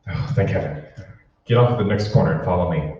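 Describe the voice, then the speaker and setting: A man's voice: sits low, quick pace, darkish tone, lightly acted. A man playing an anxious, furtive conspirator in an old-time radio drama, with a hesitant, secretive delivery. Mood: nervous, secretive. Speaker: amateur stage actor. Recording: roomy, distant, dull hall recording with hiss.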